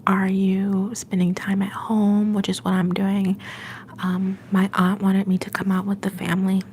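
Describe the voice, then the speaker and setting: A young woman hosting a livestream from home, whispering softly and affectionately to her viewers. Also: medium pitch, monotone, measured pace, a little hiss or hum. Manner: soft whisper, affectionate and encouraging. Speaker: livestream host.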